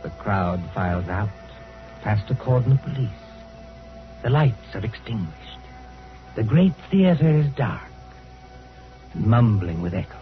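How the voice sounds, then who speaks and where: Casting: suspense narrator, grave dramatic delivery, thin phone-like vintage radio sound over music. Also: male voice, lowish voice, expressive, unhurried, long pauses. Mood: suspenseful. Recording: tinny, band-limited old radio, loud music.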